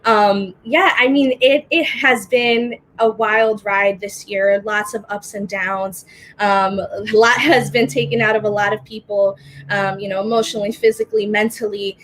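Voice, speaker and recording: A woman's voice: mid-range voice, steady tone, moderate pace, rough recording. Earnest young woman organizer on a call. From Dominican Republic. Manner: warm, earnest, many fillers. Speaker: panelist, organizer. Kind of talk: casual spoken remarks.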